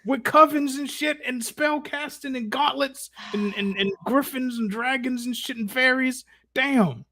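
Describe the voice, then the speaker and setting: A man's voice: very high voice, a little slow, hardly any pauses, pushed and bright, no echo. An American man hosting a casual live stream, chatting in an effusive, slangy, profane way.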